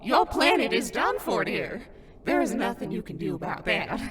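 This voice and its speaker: A ghostly grandmother sprite, sweet and cryptic, with a high voice that swoops wildly. She dotes theatrically in a fandub cartoon style, over faint noise. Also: very animated, normal speed. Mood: gently grave. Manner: doting, theatrical, sing-song.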